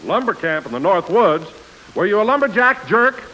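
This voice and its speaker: A man's voice: high-pitched, very animated, brisk, bright, forceful tone, rough recording. A man working as a 1940s radio announcer and comic sidekick, with a hearty, grandiose delivery. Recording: hissy vintage radio recording.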